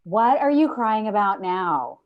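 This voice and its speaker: A woman playing a scornful, sharp-tongued office coworker with biting sarcasm in a sitcom table read. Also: mid-range voice, sing-song, measured pace. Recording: dull lo-fi video call.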